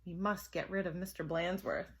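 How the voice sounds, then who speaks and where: A woman's voice: fairly low pitch, fairly even intonation, moderate pace, natural voice. A woman voicing mischievous young schoolchildren in a storybook, cheeky and exclamatory. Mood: scheming.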